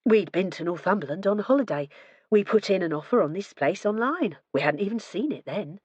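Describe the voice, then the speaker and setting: A woman voicing a soft-spoken, gentle retired wife in an audiobook. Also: mid-range voice, expressive, a little fast, husky, dry recording, muffled recording. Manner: gentle, a little prim.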